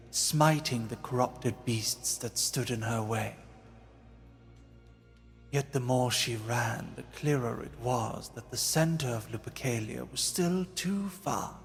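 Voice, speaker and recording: A man narrating a fantasy tale in a dramatic, scripted style, solemn and portentous. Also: medium pitch. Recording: long reverb, music behind.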